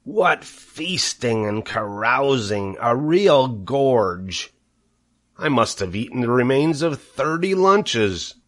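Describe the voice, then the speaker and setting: A man voicing a gloating, greedy male rat with a husky, slow drawl. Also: medium pitch, big pitch swings, pitched above the performer's own voice.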